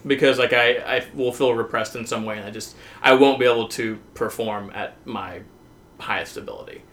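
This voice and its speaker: An American man from the South talking casually and openly about himself, haltingly, with "like" as a constant filler. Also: medium pitch, fairly bright tone. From southern United States. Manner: halting, heavy use of "like" as filler; open, self-revealing. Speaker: podcast guest.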